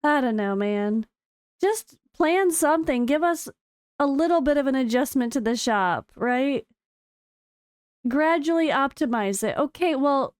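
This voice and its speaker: An American woman with a slightly hoarse voice, a gaming content creator chatting excitedly through developer notes. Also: high-pitched, dry recording, studio quality. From United States. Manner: chatty and excitable, with frequent fillers. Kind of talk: casual commentary reading developer notes.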